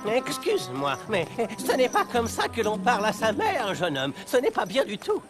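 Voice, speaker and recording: An actor voicing a pompous, lecturing crocodile scholar in a cartoon dub. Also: male voice, sits high, wildly swooping pitch, moderate pace. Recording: music under dialogue.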